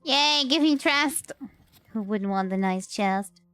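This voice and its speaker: A woman's voice: fairly high pitch, lively intonation, slow pace, fairly bright tone, soft background music. A woman livestreaming a game, reacting casually and playfully, often echoing what she hears. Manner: playful, repeats and echoes game lines.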